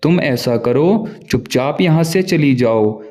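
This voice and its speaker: A man's voice: medium pitch, expressive, measured pace, a little mellow, close to an everyday voice. A man doing a grumbling crow husband. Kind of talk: cartoon voice acting.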